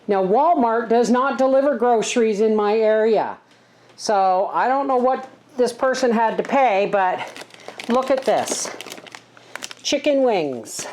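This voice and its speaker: A middle-aged woman with a head cold and a scratchy throat, a homesteading host chatting earnestly to camera. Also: a bass voice. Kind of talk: casual talk to camera.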